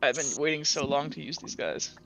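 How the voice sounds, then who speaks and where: A man working as game master, improvising scene narration in a halting way and repeating words as he describes. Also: fairly high pitch, a bit bright. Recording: dull audio, faint background music.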